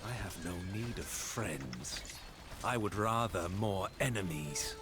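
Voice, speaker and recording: A ruthless Spartan warrior in a video game. He speaks slowly and tersely, with menacing, humourless swings of emphasis, while very loud music plays behind him. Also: low voice, lively intonation. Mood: cold, vengeful. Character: grim, ruthless, friendless Spartan warrior admiral. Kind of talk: dramatic video game voice acting.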